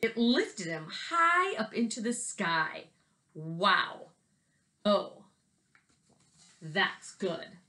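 A woman, talking casually and reading to children in an animated, expressive way, like an elementary teacher. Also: mid-range voice, extremely slow, long pauses. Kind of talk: casual video message and children's read-aloud. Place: small bedroom at home, indoors. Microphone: laptop webcam at desk distance.

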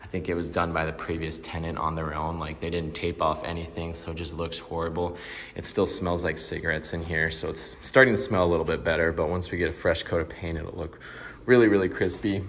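A man's voice: sits low, flat delivery, a little fast, fairly bright tone. A young man chatting casually to his viewers as he walks through an empty condo under renovation, filming himself on a handheld phone. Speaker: real estate investor vlogger. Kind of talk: casual walkthrough vlog. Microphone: phone camera held at arm's length.

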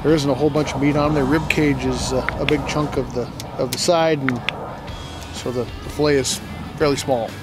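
A man's voice: mid-range voice, fairly even intonation, brisk. A middle-aged man, a fishing guide, explaining lures and trolling in a matter-of-fact way from a small boat outdoors on a lake, on a lapel mic. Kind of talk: on-the-water fishing show explanation. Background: background music.

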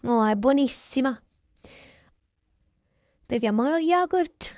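A chatty woman with a fairly high voice tells a make-believe daily routine. She improvises casually and corrects herself along the way. The sound is dry and somewhat muffled. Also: lively intonation, slow, darkish tone, no room sound. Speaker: narrator voicing a toy-game roleplay video.

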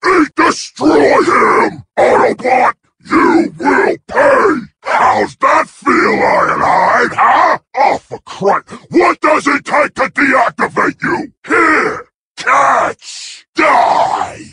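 An actor voicing a hot-tempered villainous robot brawler in a video game, shouting aggressive taunts in a raspy voice. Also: male voice, very high-pitched, expressive, very slow, exaggerated voice. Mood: furious, taunting. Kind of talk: video game combat barks.

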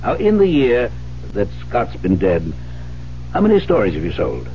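A dull, slightly noisy vintage radio recording. a probing male psychiatrist, firm and skeptical, pressing each question deliberately with wide, expressive intonation. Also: on the low side. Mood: challenging. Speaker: actor in a radio drama. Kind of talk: 1970s radio drama acting. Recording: muffled, noisy 1970s radio broadcast.

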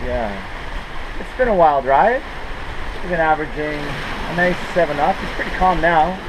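A man's voice: on the high side, moderate pace. A middle-aged man giving casual sailing vlog updates, recorded outdoors on a boat. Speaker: sailing vlogger and skipper. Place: outdoors on a sailboat at sea. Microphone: action camera at varying distance. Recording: windy sea and boat noise.

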